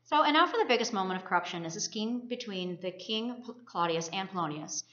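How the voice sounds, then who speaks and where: Female college student presenting a scripted literary discussion at a brisk pace, roomy lo-fi sound. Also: medium pitch, expressive, a bit bright. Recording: roomy, muffled lo-fi recording.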